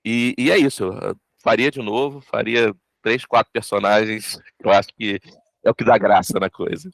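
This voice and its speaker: Male voice actor, reflective interview answers, halting, dry close sound. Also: lowish voice, fairly even intonation, gravelly, no echo. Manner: thoughtful, halting, with many restarts. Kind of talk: behind-the-scenes interview.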